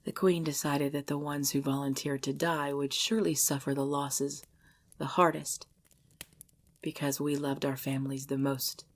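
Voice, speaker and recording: A woman's voice: low voice, level tone. A woman voicing an ancient, possessive vampire lover in an intimate ASMR roleplay, speaking breathily and formally, often dropping into a whisper. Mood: bitter, sorrowful. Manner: slow, formal, archaic phrasing, hushed.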